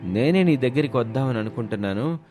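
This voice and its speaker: A man playing a sly, smooth-talking village drinker in an animated moral story. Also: mid-range voice, fast, faint music behind, lightly acted. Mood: smooth, reassuring. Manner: wheedling, scheming, reassuring.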